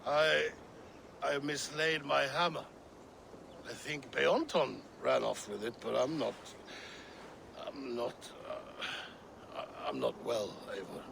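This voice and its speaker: An actor playing a brooding, drunken Viking king who grieves his brother and tells slow, heavy stories of his family. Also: mid-range voice, steady tone, creaky, noisy background. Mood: unsteady. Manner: slow, heavy, mournful storytelling. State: drunk and unwell. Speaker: game character. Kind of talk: video game cutscene acting.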